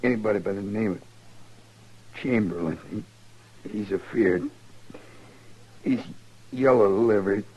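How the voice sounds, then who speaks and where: Slow, halting, dying western outlaw. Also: male voice, fairly low pitch, slightly rough. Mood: bitter, weak. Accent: American. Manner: halting, labored, rustic drawl. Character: dying wounded male outlaw, bitter and defiant. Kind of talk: old-time radio western acting. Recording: dull, noisy old radio recording.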